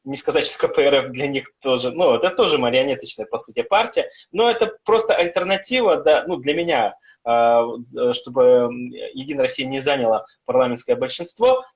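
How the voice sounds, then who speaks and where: A man's voice: medium pitch, animated, fairly quick. A man hosting a live-streamed interview, asking questions casually and hesitantly with many fillers. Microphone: phone on a live stream.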